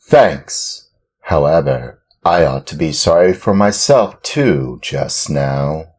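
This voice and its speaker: A man reading a storybook and voicing a gruff, bearded male puppet-theater director in a hoarse, booming, commanding tone. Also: lively intonation, takes it slowly, a little mellow, exaggerated voice. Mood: grumbling.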